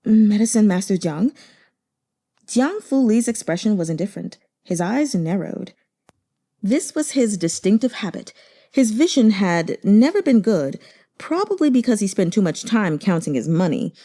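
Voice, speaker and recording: A woman reading a novel aloud as an audiobook narrator, in expressive scripted storytelling. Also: medium pitch, moderate pace. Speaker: audiobook reader.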